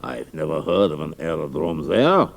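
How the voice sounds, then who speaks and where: Pompous German commander, low gravelly voice, formal, authoritative, audio drama. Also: male voice, sing-song, moderate pace, full character voice. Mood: curt, interested.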